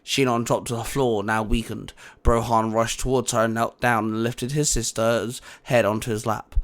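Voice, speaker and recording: A man's voice: medium pitch, flat delivery, moderate pace. A man reading scripted audiobook narration for a fan fiction adventure story.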